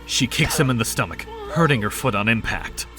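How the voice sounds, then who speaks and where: A man's voice: medium pitch, lively intonation, a little fast. A man narrating a scripted audio drama in storybook style. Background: background music.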